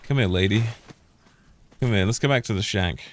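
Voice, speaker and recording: A man's voice: fairly low pitch, normal speed, a little hiss or hum. A British man streaming a game, rambling opinionated commentary that keeps trailing off and restarting. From United Kingdom. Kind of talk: casual gameplay commentary.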